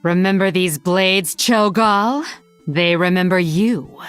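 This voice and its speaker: A proud female blood elf rogue from a fantasy video game. Her voice sits fairly low, with a dry, haughty, cutting delivery and sardonic teasing, set over background music. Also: slow, smooth. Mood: scornful, menacing. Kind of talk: video game hero voice lines.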